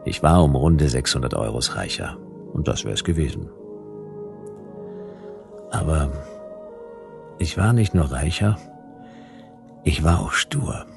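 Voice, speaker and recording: A man's voice: very deep voice, expressive, takes it slowly, creaky, pauses often, over music, exaggerated voice. A man playing a stubborn hard-boiled detective, narrating his case in a dry, laconic, wry way in a radio play. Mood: wry, satisfied.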